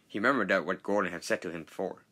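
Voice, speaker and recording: A man narrating a children's storybook read-along in a halting, stumbling way. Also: low voice, bright, forceful tone.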